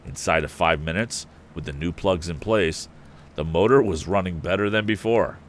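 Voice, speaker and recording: Male story reader, low voice, expressive and varied delivery, casual host manner, slight background noise. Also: moderate pace. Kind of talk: expressive book narration with casual asides. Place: indoor live stream.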